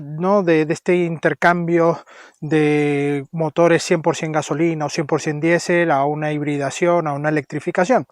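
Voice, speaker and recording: A man's voice: fairly high pitch, no room sound, studio quality. A man from Venezuela with a Venezuelan accent, presenting a casual car review in a chatty, explaining way. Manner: chatty, explaining details with tag questions.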